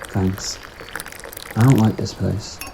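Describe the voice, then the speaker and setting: A man's voice: fairly low pitch, steady tone, takes it slowly, creaky, background noise. A man playing an uneasy, sceptical travelling companion in a fan audio drama, hesitant and questioning.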